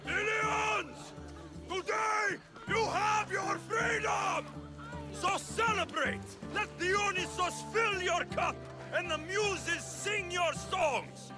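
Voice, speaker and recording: An actor playing a young Greek mercenary hero in a game cutscene, steady and resolute. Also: male voice, very high-pitched, takes it slowly, a crowd in the background. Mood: rousing. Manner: steady, rising to rousing shouts. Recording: game cutscene with music behind.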